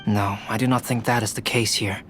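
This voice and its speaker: A composed investigator acted by a man reasons in a slightly low voice. He is formal, analytical and matter-of-fact, with faint game music behind. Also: steady tone, fairly quick, close to an everyday voice. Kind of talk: video game dialogue acting.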